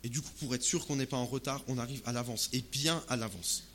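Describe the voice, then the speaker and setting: A man from Alsace preaching a sermon in casual, expressive, conversational language, often asking rhetorical questions. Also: mid-range voice, fairly quick, a little hiss or hum. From Alsace, France. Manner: expressive, uses rhetorical questions and acted-out asides.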